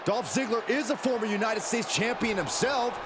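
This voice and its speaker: A man playing an excitable pro wrestling TV announcer in a game, calling play-by-play. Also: very high voice, normal speed, heavy background noise. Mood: analytical. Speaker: wrestling commentator. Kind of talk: sports play-by-play commentary. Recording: loud music behind.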